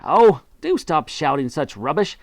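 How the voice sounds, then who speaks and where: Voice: medium pitch, sing-song, measured pace, no echo, clean studio sound. A reader doing a frantic, bossy monkey dad. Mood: excited. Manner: shouting, urgent commands.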